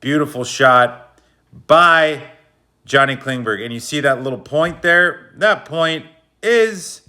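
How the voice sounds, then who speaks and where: A man's voice: on the high side, slow pace, pushed and bright. A man giving a casual, slangy breakdown of hockey game footage, explaining plays like a coach. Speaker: hockey video analyst.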